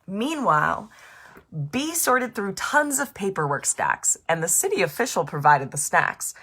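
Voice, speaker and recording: A woman reading and explaining a storybook for children in an expressive, animated way, speaking directly to young listeners. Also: medium pitch, measured pace, slightly rough.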